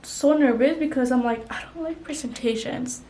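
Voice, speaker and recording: A woman's voice: high-pitched, normal speed, dark tone. A young woman vlogger chatting casually while doing her makeup, rambling with lots of "like" and "you know". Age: young adult. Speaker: beauty vlogger.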